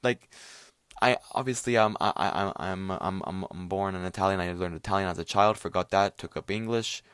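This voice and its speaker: A man's voice: low-pitched, brisk, fairly bright tone, clear, resonant tone, dry recording, studio quality. A young man from Quebec hosting his own podcast, ranting casually with lots of swearing, fillers and restarts. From Quebec, Canada. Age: young adult. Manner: profane, rambling, filler-heavy. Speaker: podcast host. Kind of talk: casual solo rant podcast.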